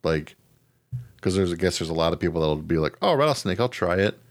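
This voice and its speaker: Deep voiced guy hosting a podcast, slangy joking chat, swears, dry sound no room. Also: expressive, brisk, dry recording. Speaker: podcast host. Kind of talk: casual news chat with a co-host.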